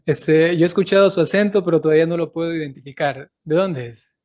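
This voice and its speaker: A man who is a priest hosts this interview over a video call, speaking in a fairly high voice. He greets his audience and laughs often along with his guest. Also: fast talker, a little mellow. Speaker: priest and channel host. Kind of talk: interview host.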